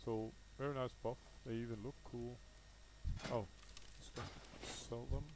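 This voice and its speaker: A man's voice: mid-range voice, level tone, unhurried, background noise. A man with a Danish accent, a native Danish speaker, gives casual unscripted gameplay commentary, thinking aloud hesitantly and correcting himself as he goes. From Denmark. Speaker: gaming video host.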